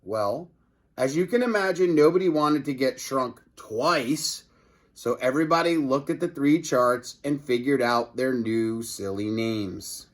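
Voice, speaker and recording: A man's voice: mid-range voice, measured pace. A man reading a children's story aloud as narrator, with brief asides to the listeners. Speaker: storybook reader.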